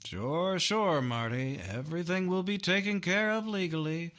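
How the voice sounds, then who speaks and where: A man playing a calm, wry district attorney, unhurried and dryly placating, in a 1950s radio crime drama. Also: high voice. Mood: calm, firm.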